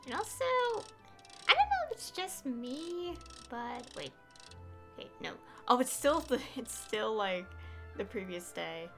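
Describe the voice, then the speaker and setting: A woman's voice: fairly high pitch, very animated, measured pace, over music. A woman giving casual live gameplay commentary as a streamer, hesitant and thinking aloud, often trailing off. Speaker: game streamer.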